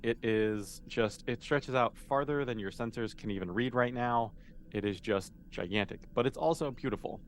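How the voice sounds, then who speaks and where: A man's voice: mid-range voice, moderate pace. A man speaking casually and informally as a game master, explaining and narrating a tabletop roleplaying game.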